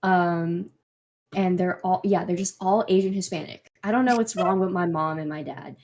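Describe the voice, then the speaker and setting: A young woman co-hosting a podcast, talking in a casual, animated way and swearing freely. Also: lowish voice, moderate pace, a little hiss or hum. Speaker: podcast co-host. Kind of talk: casual chat.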